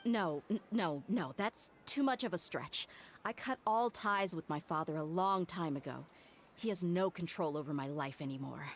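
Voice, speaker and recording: A woman's voice: fairly low pitch, normal speed, slight background noise, lightly acted. A woman voicing a blunt, loyal female mercenary bodyguard in a game, frank and casual in her speech. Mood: earnest. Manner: frank and casual, with heavy sighs.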